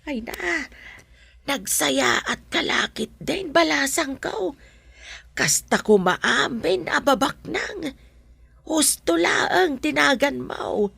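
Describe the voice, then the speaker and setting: A woman playing a humble, grateful elderly mother in an Ilocano radio drama, hesitant and effusive, with a creaky, husky voice. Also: high-pitched, lively intonation, drawn-out words, long pauses, pushed and bright, full character voice. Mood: humble, admiring. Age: older.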